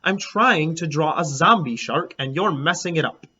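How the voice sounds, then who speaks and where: Man playing a bright-voiced, easily bothered storybook schoolboy. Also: fairly high pitch, husky, muffled recording, pitched above the performer's own voice, exaggerated voice. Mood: annoyed. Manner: complaining, then halting and fumbling for words. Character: grumpy third-grade boy, busy drawing, easily smitten.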